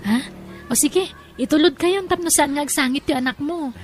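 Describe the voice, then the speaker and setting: Actress as emotive jilted young Ilocano woman, high voice, audio drama, prominent music underneath. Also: a little fast, natural voice. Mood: friendly, warm. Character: tearful jilted young woman, kind to a child.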